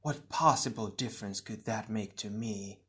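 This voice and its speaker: A man voicing a cold, ruthless female water shadow villain in a hushed, slithering, disdainful way. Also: fairly low pitch, moderate pace.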